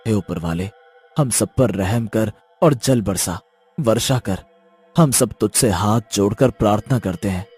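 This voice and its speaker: A man voicing a distressed, drought-stricken village farmer in an animated story, with a husky voice. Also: on the low side, measured pace, soft background music. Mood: pleading, praying.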